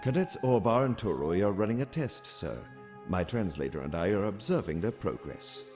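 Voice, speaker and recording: Casting: male actor for alien military tactician, audio comic. Very low register, formal, measured, coolly intellectual, expressive contour. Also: soft background music, natural voice. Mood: cool, assured. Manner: formal, measured, precise wording. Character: calm, cerebral male alien military cadet and strategist. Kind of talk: scripted audio comic acting.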